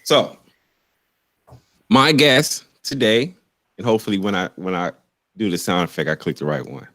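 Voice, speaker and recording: A man with a lowish voice hosts a casual live-streamed podcast over a video call. He rambles, restarts and repeats his words in a loose, easygoing way. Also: big pitch swings, normal speed, fairly bright tone. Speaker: podcast host.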